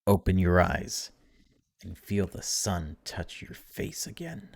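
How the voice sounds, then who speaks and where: A man's voice: low-pitched, big pitch swings, slow pace, gravelly. A man hosting a gaming video, reading story text aloud dramatically and breaking off for casual, wry asides.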